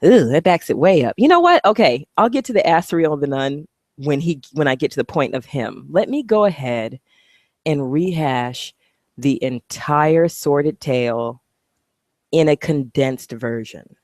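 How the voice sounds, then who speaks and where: A woman's voice: low-pitched, lively intonation, unhurried, a little mellow, no echo, studio quality. A woman vlogger talking casually to the camera. She is blunt, swears freely and rambles into asides while clicking through her videos. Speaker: video blogger.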